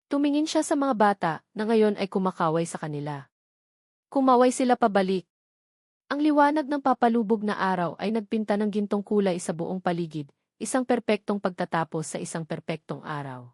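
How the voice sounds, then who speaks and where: Storyteller, female, Filipino accent. Sentimental, earnest dramatic narration, mid-pitched voice, very dry recording. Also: animated, moderate pace, a little mellow, dry recording.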